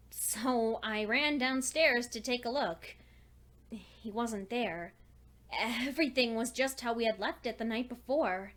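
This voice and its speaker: A woman's voice: on the high side, fairly bright tone. A woman voicing an anxious young female pony scholar, earnestly and precisely reading out a log as a dramatic story. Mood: worried. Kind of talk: dramatic first-person fan fiction reading.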